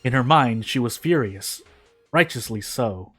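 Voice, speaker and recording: A man's voice: medium pitch, expressive, a little slow, a bit bright, slightly rough, faint music behind. A man reading a fan fiction story aloud in a dramatic narrating style.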